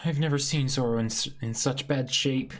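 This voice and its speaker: A man voicing a small, worried young reindeer doctor, speaking softly and earnestly in a comic-book reading. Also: medium pitch, normal speed, pitched below the performer's own voice, close to an everyday voice.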